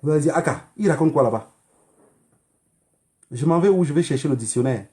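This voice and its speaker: Higher-voiced Cameroonian man, emphatic. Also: expressive, fairly quick, dark tone. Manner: emphatic and admonishing, with repeated phrases and rhetorical questions. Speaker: online activist livestreamer. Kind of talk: political livestream monologue to viewers.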